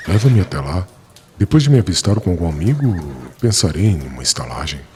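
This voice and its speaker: Very deep, solemn, weary man played by an actor. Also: big pitch swings, a little fast, warm tone, a little hiss or hum. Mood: weary, grave. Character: Saul, weary stricken man of learning, resigned and dignified. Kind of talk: dramatized audiobook dialogue.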